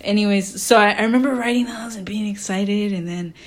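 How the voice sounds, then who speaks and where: A woman from Costa Rica, a filmmaker talking as a guest in a casual interview, lively, with frequent laughter and many fillers. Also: mid-range voice, brisk.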